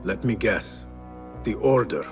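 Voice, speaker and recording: An actor playing a young male assassin in a game, calm, with a dry wit. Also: fairly low pitch, slow, faint music behind.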